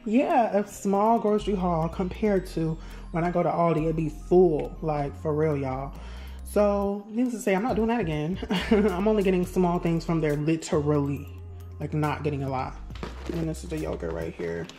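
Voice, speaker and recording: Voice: mid-range voice, normal speed. A young adult vlogger chatting casually to viewers while putting groceries away. The talk rambles and keeps turning to the viewers as y'all, picked up by a camera across a home kitchen. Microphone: camera set across the kitchen. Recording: echoey kitchen with loud music behind.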